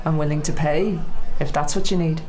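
A woman's voice: low-pitched, fairly even intonation, moderate pace, a little mellow, lightly acted. An actress playing a reserved, determined middle-aged Irish woman in a naturalistic radio drama, speaking in a dry, guarded way. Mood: tense, guarded. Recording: faint background ambience. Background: faint ambient noise.

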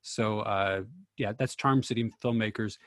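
A man's voice: lowish voice, a little slow, no room sound. A man speaking casually in a group discussion, as a host and actor telling stories.

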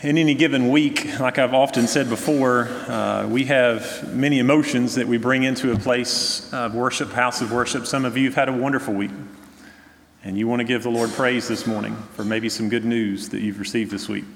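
A man serving as pastor, giving a measured, earnest sermon to his congregation, with frequent fillers. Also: mid-range voice, fairly even intonation, vocal fry, a little hiss or hum. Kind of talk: sermon with pastoral prayer concerns. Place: church sanctuary. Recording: long church reverb.

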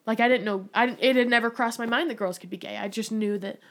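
A woman's voice: on the high side, steady tone, fast, a bit bright. A young adult woman talking candidly as a podcast guest, rambling with lots of filler words. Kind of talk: casual candid conversation.

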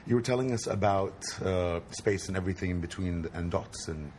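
Deep-voiced male interviewer, noisy outdoors. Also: fairly quick, darkish tone. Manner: hesitant, many fillers and restarts. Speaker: interviewer, podcast host. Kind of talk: casual interview. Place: outdoors at an art fair, wind and music around. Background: wind and background noise.

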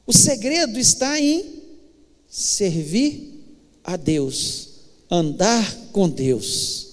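Slow, high-voiced Brazilian man preaching. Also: very animated, big-room sound. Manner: exhorting, emphatic, with deliberate pauses. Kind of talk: church sermon. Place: church, live worship service.

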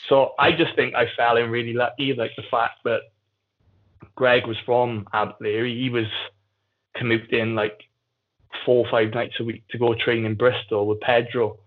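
A man's voice: medium pitch, little pitch movement, normal speed. A man with a South Wales Valleys accent chatting casually and candidly as a podcast guest. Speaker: podcast guest, jiu-jitsu athlete. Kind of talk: casual conversational storytelling. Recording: muffled phone-like call audio.

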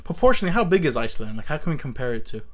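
Interview host, casual chat, animated and rambling, many restarts, desk mic. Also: mid-range voice, very fast.

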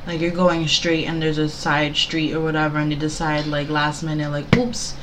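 A young woman with a very low voice chats casually, speaking softly but bluntly and swearing without a second thought. A faint noise sits behind her voice. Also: little pitch movement, normal speed. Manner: blunt, swears freely, soft-spoken. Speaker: co-host. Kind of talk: casual chat.

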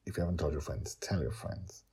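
A middle-aged man hosting his own story podcast, talking personally with dramatic emphasis and a husky voice. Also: a bass voice, brisk. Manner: animated, dramatic emphasis, chuckles while talking. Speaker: podcast host, author and storyteller. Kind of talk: personal commentary and scripted storytelling.

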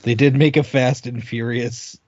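A man chats casually as a gaming podcast co-host. His pitch is middling, and the recording is very dry and a little dull. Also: level tone, normal speed, darkish tone, no room sound.

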